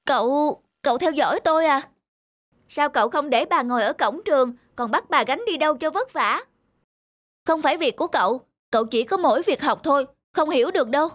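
A woman's voice: sits high, lively intonation, brisk. A woman playing a shy, proud young schoolboy in a cartoon, guarded. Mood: defensive, irritated. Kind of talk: cartoon voice acting. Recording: music loud behind the voice.

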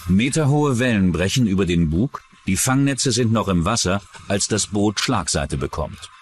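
A man's voice: lowish voice, expressive, normal speed, slight background noise. A man reading scripted true-crime documentary narration.

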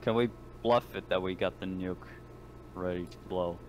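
A man's voice: sits low, steady tone, normal speed, darkish tone, a little hiss or hum. A man chatting casually as a player in an online tabletop game, blunt, assertive and sweary. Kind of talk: casual gaming chat, sweary.

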